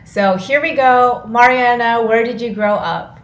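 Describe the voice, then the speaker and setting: A woman's voice: on the high side, unhurried, fairly bright tone. Woman podcast host in an echoey room. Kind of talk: upbeat interview with quick questions. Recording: roomy echo, faint noise.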